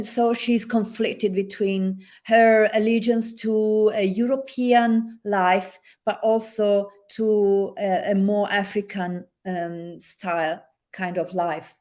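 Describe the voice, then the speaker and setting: A woman with an Italian accent, an author guest speaking thoughtfully with many pauses and reading from her novel over a video call. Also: medium pitch, a little mellow. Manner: thoughtful, hesitant with many fillers.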